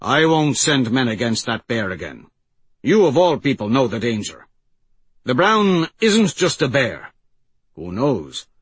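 A man's voice: mid-range voice, very animated, a little slow, slightly rough, dry recording. A man voicing a stubborn, cowardly male chieftain in an audiobook, dismissive and defensive.

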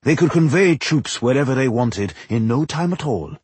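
Man playing an old, husky dwarf king. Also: mid-range voice, lively intonation, normal speed, a little mellow, no echo, voice pushed up. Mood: knowing, proud. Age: older. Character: aged, frail dwarf High King, wise and measured.

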